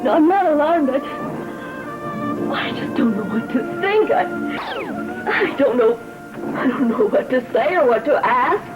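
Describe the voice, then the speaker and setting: A woman's voice: sits high, animated, measured pace, long pauses. A woman playing an emotional, breathless grown daughter overwhelmed by her father's return in a 1960s gothic soap. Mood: bewildered. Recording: dull old TV soundtrack, loud music.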